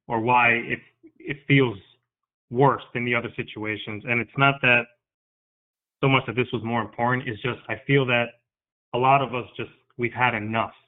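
A man in his thirties from New York, a guest speaking earnestly and haltingly about something that moves him. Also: medium pitch. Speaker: interview guest. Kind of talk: candid interview talk. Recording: tinny phone-like line.

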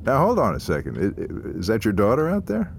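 A man's voice: fairly low pitch, wildly swooping pitch, a little fast, vocal fry, slight background noise, heavily acted. An actor playing an abrasive older male business partner, condescending and profane, in a naturalistic audio drama. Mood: mockingly jovial.

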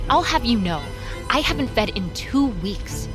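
Young female vampire, fairly high voice, guarded, curt, earnest, naturalistic drama, clear music bed. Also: big pitch swings, unhurried. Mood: earnest, pleading. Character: guarded young woman vampire longing to be normal. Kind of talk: naturalistic audio drama acting.

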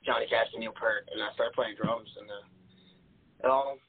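A man's voice: lowish voice, fairly even intonation, normal speed, a crowd in the background. Laid-back twenty-year-old guy, tinny line. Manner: laid-back, chatty, laughs easily. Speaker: guitarist in a rock band. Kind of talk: casual interview answers. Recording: tinny phone-like sound.

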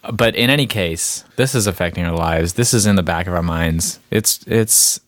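A young adult man co-hosting a podcast, talking in a thoughtful, measured way and agreeing with short interjections. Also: low voice, no room sound. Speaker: podcast co-host. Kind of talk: casual chat.

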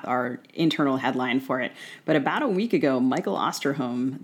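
A woman's voice: extremely low pitch, breathy edge. A woman with an American accent co-hosting an interview podcast, conversational, articulate and analytical. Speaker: podcast co-host.

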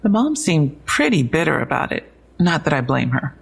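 Creaky-voiced, wry police detective. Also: female voice, deep voice, big pitch swings, normal speed, full character voice. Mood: matter-of-fact, wry. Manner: conversational briefing, wry asides. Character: matter-of-fact young female police detective, dryly wry. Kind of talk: audio drama dialogue.

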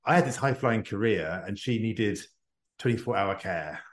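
A man's voice: lowish voice, expressive, normal speed, roomy sound. A man, a guest author, speaking earnestly and reflectively in an interview. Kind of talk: podcast interview.

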